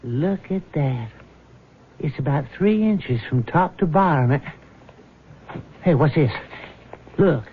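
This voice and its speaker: An actor in a radio drama playing a shrewd, eager accomplice with a brisk, slangy manner. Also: male voice, a little high, animated, husky, full character voice. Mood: tense discovery. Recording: tinny phone-like old radio broadcast.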